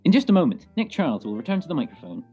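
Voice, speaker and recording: A polished vintage radio pitchman, played by a man, smooth and emphatic. His intonation is animated, over music, in dull lo-fi sound. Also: very fast, heavily acted. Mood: promotional. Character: polished male 1940s radio sponsor announcer. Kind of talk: old-time radio advertisement read.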